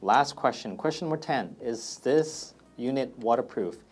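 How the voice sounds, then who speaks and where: A man's voice: medium pitch, animated, normal speed, darkish tone. A man presenting a product FAQ as a company presenter, explaining things plainly and step by step in a casual demonstration style.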